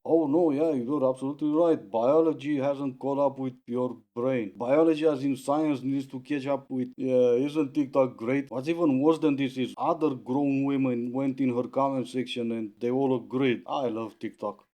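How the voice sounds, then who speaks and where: A man's voice: medium pitch, steady tone, normal speed, hardly any pauses, dark tone. Dry sarcastic man hosting reactions. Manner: dry, mocking. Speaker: commentary channel host.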